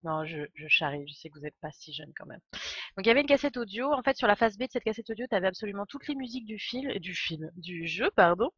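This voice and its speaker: A woman's voice: mid-range voice, expressive, fast, fairly bright tone, slightly rough, dry recording, highs cut off. A woman in her twenties with a stuffy cold, chatting casually and a little hesitantly as she hosts a live game stream. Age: 20s. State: congested with a cold.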